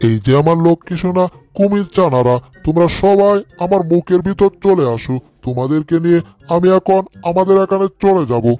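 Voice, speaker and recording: A man's voice: sits high, expressive, brisk, mellow, no echo, faint music behind. A man voicing a big, doting mother crocodile in a cartoon dub, fond and bossy in a motherly way. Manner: fond, repetitive, motherly address.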